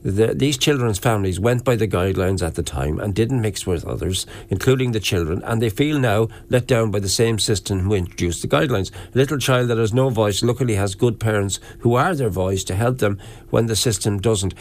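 A man hosting a radio interview, brisk and reading out listener comments. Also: on the low side, darkish tone. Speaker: radio host.

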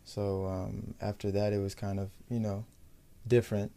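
A young man from the United States, a professional footballer, talking casually and matter-of-factly in a sports interview, in a measured way. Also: lowish voice, level tone, dark tone. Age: young adult.

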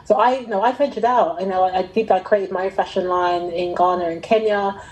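A middle-aged woman telling her own story in an interview, talking conversationally in a reflective, halting way and often rephrasing. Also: medium pitch, fairly even intonation, a little mellow, poor audio. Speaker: interviewee, fashion entrepreneur.

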